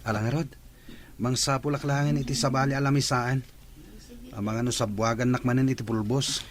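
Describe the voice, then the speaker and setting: A man's voice: medium pitch, fairly even intonation, moderate pace, natural voice. A man playing an easygoing young student in a radio drama, polite and casual. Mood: friendly.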